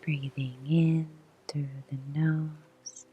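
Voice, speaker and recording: A woman guiding a meditation in a soft whisper, with a slow, soothing delivery and long pauses. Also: sits low, level tone, highs cut off, a little hiss or hum. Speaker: meditation guide. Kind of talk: guided meditation.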